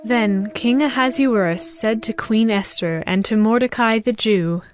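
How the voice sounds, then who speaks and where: A woman giving a formal, measured, scripted narration of a dramatized scripture story. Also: a little high. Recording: music behind the voice.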